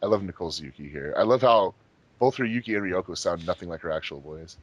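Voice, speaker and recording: A man with a deep, slightly husky voice chats on a fan commentary track. He jokes and throws in asides, his pitch swinging widely. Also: dry recording, lo-fi sound. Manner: animated, joking, quick asides. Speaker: fandub member. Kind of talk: casual commentary chat.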